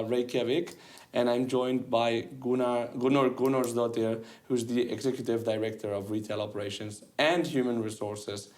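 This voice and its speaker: A man's voice: medium pitch, darkish tone. A man hosting a business podcast interview, chatty and prone to restarting his phrases. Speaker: podcast host.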